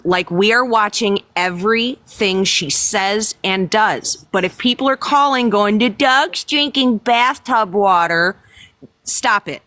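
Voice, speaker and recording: A woman lays down the law in a livestream chat, blunt and exasperated. She lectures her listeners with curse words and firm repetition, at a middling pitch. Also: slow pace, bright, forceful tone. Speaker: livestream guest.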